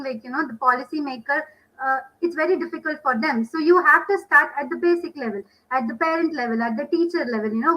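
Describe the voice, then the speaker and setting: A woman with a high voice talks as a parent on a discussion panel. She is earnest and conversational, circling back over her points with frequent "you know". The sound is dull and lo-fi, like a video call. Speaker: parent guest on panel podcast.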